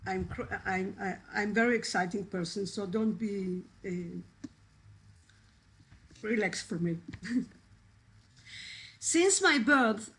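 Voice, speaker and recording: Woman, native Iraqi Judeo-Arabic speaker, halting and emotional, reading aloud, slow pace, video call. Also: medium pitch, lively intonation, fairly bright tone. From Israel. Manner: halting, searching for English words, emotional. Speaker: actress and translator, guest speaker.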